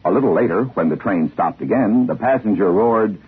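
An American man hosting a 1950s radio show, telling scripted humorous tales in a husky voice. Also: fairly low pitch, expressive, fairly quick. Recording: muffled narrow-band 1950s radio recording.

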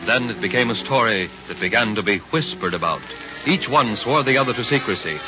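A man's voice: fairly low pitch, expressive, fairly quick. A man narrating a history drama in a dramatic 1930s radio style. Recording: muffled 1930s radio recording. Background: dramatic music.

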